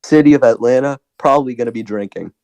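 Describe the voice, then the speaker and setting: A hoarse young man doing excited post-game commentary, laughing often and breaking into shouts. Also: mid-range voice, fast talker. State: hoarse, lost voice.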